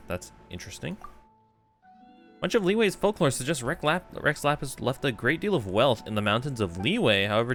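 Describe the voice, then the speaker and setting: A man's voice: mid-range voice, a bit bright. A young man doing casual livestream game commentary and reading text aloud, slangy and musing. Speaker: game streamer.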